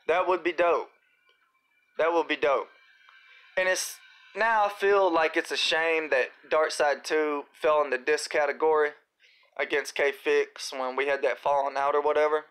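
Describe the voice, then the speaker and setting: A man with a somewhat high, slightly raspy voice talks through viewer questions on a live stream. His delivery is loose and rambling, full of fillers and slang. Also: fairly bright tone. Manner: laid-back, rambling, uses fillers and slang. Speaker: rapper hosting a live Q&A. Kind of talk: casual live-stream chat reading viewer comments.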